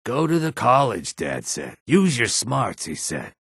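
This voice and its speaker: A man voicing a reptilian Argonian assassin and outlaw in a video game, with a rasping, husky voice. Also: medium pitch, huge pitch swings, measured pace, dry recording, clean studio sound, exaggerated voice. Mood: muttering, grumbling. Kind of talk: video game character dialogue.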